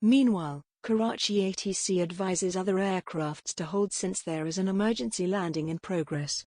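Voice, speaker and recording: A narrator reading a script in a flat, even way. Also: mid-range voice, moderate pace.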